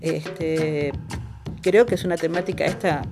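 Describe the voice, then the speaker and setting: An Argentine woman being interviewed, reflective and pausing to find her words. Her voice has a lot of creak, and music plays clearly behind her. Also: deep voice, fairly even intonation.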